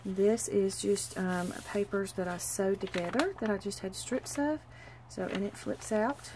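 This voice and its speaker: A woman sounding stuffy and sniffly from a cold, speaking at a middling pitch. She chats in a hurried, gushing way as she shows off her crafts. A faint hiss of room noise sits behind her voice. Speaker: crafter showing a handmade journal. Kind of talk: casual craft walkthrough.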